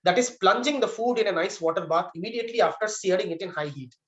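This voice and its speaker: A man's voice: sits high, animated, quick pace, a bit bright. Muffled male quiz master. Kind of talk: reading quiz questions and judging answers on a video call.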